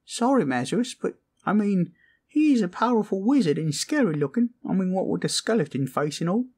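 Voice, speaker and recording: A man voicing an eager, slangy underling who runs errands, with a squeaky, husky voice, in an improvised fantasy roleplay. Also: big pitch swings, a little fast, a little mellow, full character voice. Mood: sheepish. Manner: slangy, blunt, deferential. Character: eager, scrappy underling errand-runner named Garbo.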